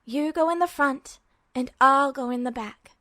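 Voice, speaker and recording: A woman's voice: high voice, moderate pace, fairly bright tone, no echo, clean studio sound. A woman voicing a brash young man. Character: brash, impatient young man, a cocky alchemist.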